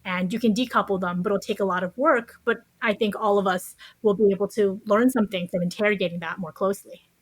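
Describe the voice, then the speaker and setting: A woman's voice: medium pitch, fairly even intonation, fast, no room sound. A woman giving reflective, explanatory answers as an author in an interview, often adding "you know" as she talks. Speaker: podcast guest, author. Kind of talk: thoughtful interview conversation.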